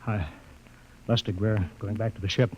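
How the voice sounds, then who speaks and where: An actor playing a stern, skeptical rocket ship captain in a vintage radio drama, with a commanding manner. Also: male voice, on the low side, fairly even intonation, moderate pace, husky, muffled recording. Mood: angry, urgent. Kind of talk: 1950s radio drama acting.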